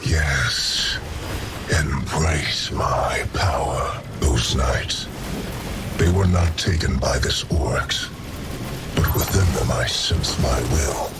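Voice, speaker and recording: A man playing a huge, menacing undead god-king in a video game, imperious, with a booming bass voice. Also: slow, creaky, over loud music, full character voice. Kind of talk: dramatic video game acting.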